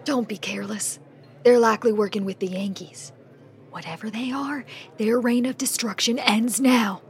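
A woman's voice: a little high, steady tone, normal speed, lightly acted. A woman playing a stern, vengeful, wary infantry soldier who speaks in terse, commanding lines. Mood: resolute, urgent.

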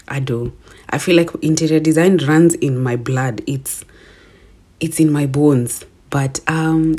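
A young Kenyan woman with a deep, low-pitched voice and a slightly husky edge talks through a casual solo podcast episode. She is chatty and self-affirming, and she laughs at herself easily. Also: darkish tone. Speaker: podcast host.

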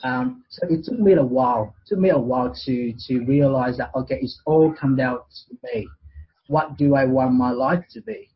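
A man's voice: medium pitch, moderate pace, breathy edge, dull audio. A man in his forties from Vietnam with a Vietnamese accent, talking as an interview guest in a casual, hesitant way with many fillers and restarts. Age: 40s.

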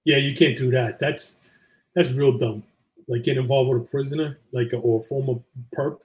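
A man's voice: mid-range voice, steady tone, normal speed, a little mellow, low-quality recording. A man co-hosting a casual live chat show, tossing in colloquial interjections and reading out viewer comments.

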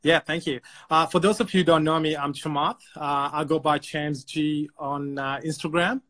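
A chatty man from Sri Lanka tells a story as a podcast guest, his voice sitting a little high. He speaks over a video call and often repeats words as he goes. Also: fairly even intonation, moderate pace, a bit bright. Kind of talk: casual storytelling.

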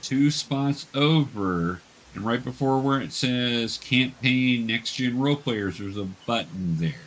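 A man's voice: mid-range voice, lively intonation, slow, a bit bright, rough recording. Man guiding a game over faint noise. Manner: patient, step-by-step explaining, hesitant. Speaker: dungeon master, teacher. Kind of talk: guiding an online tabletop role-playing game.

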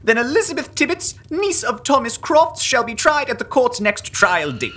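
A woman's voice: on the high side, big pitch swings, normal speed, fairly bright tone, slight background noise. A woman playing a pompous, self-righteous leader of a Puritan witch-hunting club, declaiming archaic English in a comic audio drama. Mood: officious.

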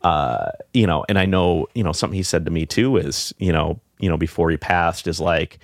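Deep-voiced man, low and dry. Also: no echo. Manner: reflective, halting, many fillers and restarts. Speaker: podcast guest. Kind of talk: casual heartfelt conversation.

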